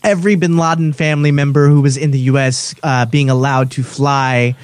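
A man's voice: medium pitch, flat delivery, fairly quick, smooth, no echo. A man co-hosts a political talk show in a mid-range voice. His commentary is casual and conversational, recorded dry with very little room sound.

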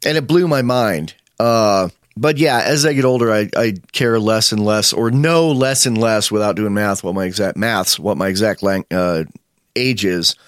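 A man's voice: fairly low pitch, normal speed, dry recording. Man, 50s, podcast host type, rambling and opinionated, dry close sound. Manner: rambling, opinionated, many fillers and restarts. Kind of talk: casual chat.

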